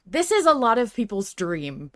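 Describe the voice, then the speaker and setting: A woman hosting a fan recap podcast, chatting in a gushing, sweary, excited manner. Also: fairly high pitch, normal speed, dry recording, studio quality. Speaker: podcast host.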